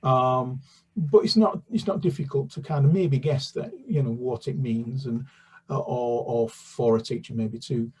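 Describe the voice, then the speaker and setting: A man's voice: medium pitch, a little hiss or hum. A British children's author talking about his books with many restarts, then reading a chapter aloud. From United Kingdom. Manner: halting, many restarts and fillers, then steady narration. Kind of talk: book talk and read-aloud.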